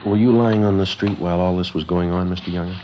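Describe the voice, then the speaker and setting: Actor as very deep-voiced deadpan police sergeant. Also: male voice, quick pace, slight background noise, lightly acted. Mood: calm questioning. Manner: deadpan, matter-of-fact. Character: male police sergeant, terse, businesslike, unemotional detective. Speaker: narrator and lead actor. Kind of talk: 1950s radio police drama, clipped factual narration. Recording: muffled vintage 1950s radio recording.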